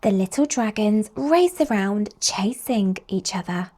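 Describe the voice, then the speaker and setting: A woman reading picture books aloud to young children in an expressive, slow storytelling manner. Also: mid-range voice. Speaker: children's storybook reader and host.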